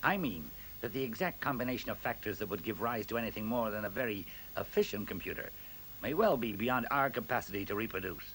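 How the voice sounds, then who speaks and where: Grave, measured scientist. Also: male voice, on the low side, lively intonation, fairly bright tone. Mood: grave, reflective. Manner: deliberate, measured, authoritative. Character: grave, resolute, intellectual scientist taking charge. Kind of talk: 1970s radio drama acting. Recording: hissy 1970s radio recording.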